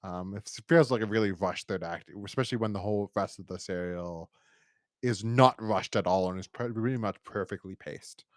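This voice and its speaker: A man's voice: fairly low pitch, a little fast, no room sound, clean studio sound. A man co-hosting a podcast, chatting casually and enthusiastically through a review in a rambling way. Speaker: podcast co-host.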